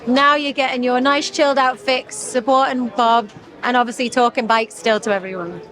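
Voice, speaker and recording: A woman with a high, bright voice presents from a bike event, bubbly and eager as she introduces guests. She speaks as a host sheltering in a marquee. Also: fairly even intonation, normal speed, low-quality recording. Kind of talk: event coverage and interviews.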